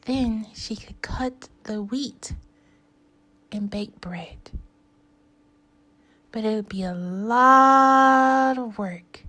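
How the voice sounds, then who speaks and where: A woman with a fairly high voice reads a children's story as a teacher would. Her delivery is extremely slow and deliberate, with clear pauses between short phrases. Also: bright, forceful tone. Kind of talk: animated read-aloud storytelling for young learners.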